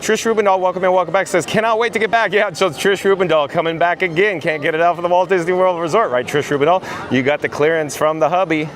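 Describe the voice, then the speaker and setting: Hoarse, raspy man vlogging live. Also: high-pitched, fairly even intonation, fast talker, few pauses, a bit bright, slight background noise. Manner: chatty, reads viewer comments aloud. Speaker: livestream host. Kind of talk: live theme park vlog reading chat. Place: outdoors at a theme park.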